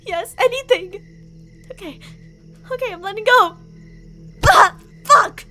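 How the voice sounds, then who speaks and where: A woman's voice: very high voice, lively intonation, slow pace, bright, forceful tone, faint music behind, exaggerated voice. A woman playing an obsessive, delusional young female stalker and former bully in an ASMR roleplay, clingy and emotionally volatile. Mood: desperate, sobbing. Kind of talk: ASMR roleplay, dramatic monologue to listener.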